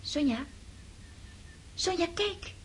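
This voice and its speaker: An actress playing a dreamy, impulsive, affectionate teenage countess in a Dutch radio drama. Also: female voice, very high-pitched, animated, slow pace, a little hiss or hum, exaggerated voice. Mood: enraptured.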